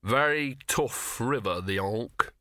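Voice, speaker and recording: A man voicing a cynical, self-pitying little talking dog with a hoarse, grumbling drawl, for an audiobook. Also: medium pitch, expressive, takes it slowly, pushed and bright, no room sound, clean studio sound. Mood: maudlin self-pity.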